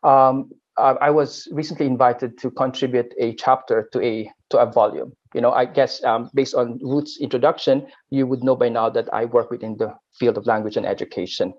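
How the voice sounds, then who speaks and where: Halting Filipino man at a panel, muffled. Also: medium pitch, steady tone, a little mellow. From Philippines. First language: Aklanon. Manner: halting, with frequent word repeats. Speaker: academic panelist. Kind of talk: academic roundtable talk.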